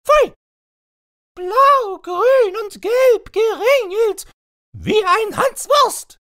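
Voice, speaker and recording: Haughty, very high-pitched stork. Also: male voice, expressive, drawn-out words, pushed and bright, no room sound, clean studio sound, exaggerated voice. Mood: scornful, indignant. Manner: brusque, indignant, dismissive. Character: haughty, scornful stork, brusque and vain.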